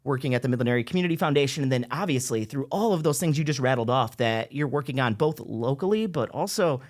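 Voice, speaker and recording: A man's voice: mid-range voice, lively intonation, fast. A man hosting a local talk show, enthusiastic and effusive, joking with his guest. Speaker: show host. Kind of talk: casual interview chat.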